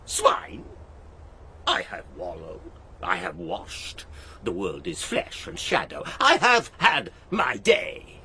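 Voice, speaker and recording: An actor playing a wild, witty, mocking court jester in an audio drama, declaiming archaic verse theatrically. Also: male voice, huge pitch swings, slow, bright, forceful tone, a little hiss or hum, heavily acted. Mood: wry musing. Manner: swooping between low musing and shrill cries.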